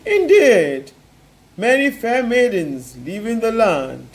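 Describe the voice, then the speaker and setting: A storyteller voicing a stern male king who issues slow, threatening decrees. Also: very high voice, huge pitch swings, full character voice. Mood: haughty.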